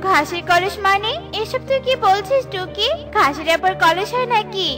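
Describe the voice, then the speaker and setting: Woman as a gentle mother bird, high voice, soothing cartoon delivery, music behind. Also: expressive, normal speed. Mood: curious, puzzled. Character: gentle, pious mother bird, older and consoling. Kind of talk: children's cartoon voice acting.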